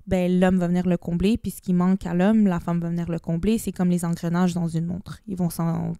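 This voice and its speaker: A woman's voice: fairly low pitch, flat delivery, fairly quick, warm tone, smooth, no room sound, clean studio sound. A young woman with a Québécois accent, chatting as a podcast guest, mixing in English phrases and restarting her sentences. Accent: Québécois French. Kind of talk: casual podcast conversation.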